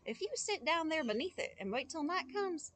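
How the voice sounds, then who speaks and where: A woman's voice: very high voice, very animated, normal speed, a bit bright, slight background noise, voice pushed up, heavily acted. A sly stranger met on the road in a storybook, put on by a woman reading aloud. He drops a teasing hint in a conspiratorial way, his voice lifted and lilting.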